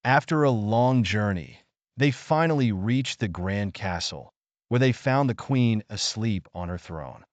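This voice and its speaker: A man's voice: lowish voice, expressive, a little slow, no echo, dull audio. A man narrating a scripted bedtime story for children.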